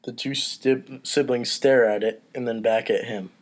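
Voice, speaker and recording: A man's voice: mid-range voice, fairly even intonation, measured pace. A man narrating stage directions at an amateur table read in a casual, informal way. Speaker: podcast cast member reading stage directions.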